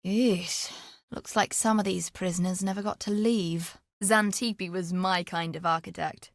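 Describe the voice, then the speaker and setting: Wry, adventurous treasure hunter, dry sound. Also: female voice, fairly low pitch, steady tone, unhurried, no echo. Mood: sardonic. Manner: theatrical storytelling with dry, sardonic asides. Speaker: video game character voice actor. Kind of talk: scripted game character dialogue.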